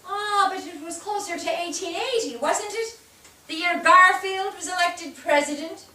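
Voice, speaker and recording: A woman playing a probing, gently knowing questioner in a staged play reading. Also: very high voice, normal speed. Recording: echoey stage, distant mic, background hiss.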